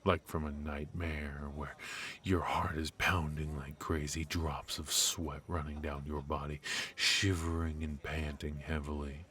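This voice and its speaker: Male actor as mafia boss, low-pitched, slow-paced, teasing, ruthless, protective, noir ASMR roleplay. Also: level tone, bright, forceful tone, full character voice. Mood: flirty, sly.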